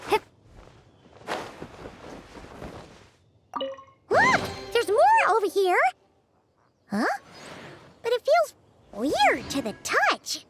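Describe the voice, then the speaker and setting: Tiny childlike game fairy: very high, squeaky, bouncy intonation, excitable and quick to take offense, noisy backing. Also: female voice, exaggerated voice. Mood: amazed, curious. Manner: chirpy, expressive, refers to self by name. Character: Paimon, tiny childlike floating fairy companion, cheerful, excitable, easily miffed. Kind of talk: video game character dialogue.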